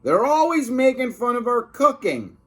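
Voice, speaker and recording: Man playing an indignant, high-pitched cafeteria lady. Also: sing-song, normal speed, fairly bright tone, exaggerated voice. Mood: fed-up, offended. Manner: aggrieved, emphatic complaining. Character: indignant, fed-up school cafeteria lady, complaining.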